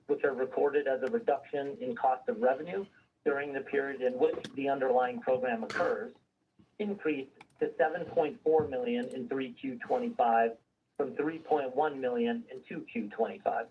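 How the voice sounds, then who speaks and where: A man's voice: medium pitch, moderate pace, recorded from a distance, narrow, tinny audio, slight background noise. A man formally reading a prepared financial results presentation on a conference call, as a company executive. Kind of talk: scripted earnings call presentation.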